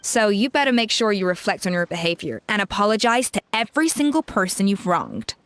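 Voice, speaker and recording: An actress playing a proud, brilliant chef who mentors bluntly and scolds with exasperation in a game cutscene. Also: female voice, mid-range voice, animated, brisk, no room sound, natural voice. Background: faint game music.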